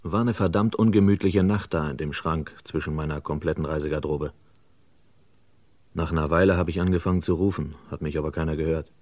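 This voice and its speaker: An actor playing a hotel guest recounting events step by step, matter-of-fact, as narration in a radio crime drama. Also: male voice, very deep voice, steady tone, fairly quick, darkish tone, slight background noise. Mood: matter-of-fact, weary. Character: dry male hotel guest recounting an ordeal to police.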